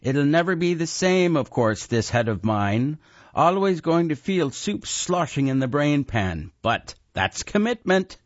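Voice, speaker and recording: A man voicing a gruff, ruthless old male wizard who is a talking severed head, bellowing in archaic rustic speech, on a dull lo-fi recording. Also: fairly high pitch, big pitch swings, measured pace, dry recording, voice pushed up. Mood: gruff commanding.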